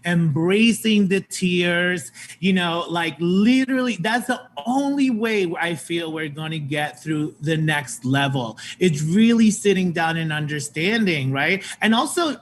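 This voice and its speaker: A man in his thirties talks earnestly on a home video call, leaning into his points with animated emphasis. His voice sits fairly high for a man. A faint hiss runs underneath. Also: a little slow, few pauses. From Puerto Rican and Colombian heritage. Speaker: show host on panel. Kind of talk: casual panel chat. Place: home room.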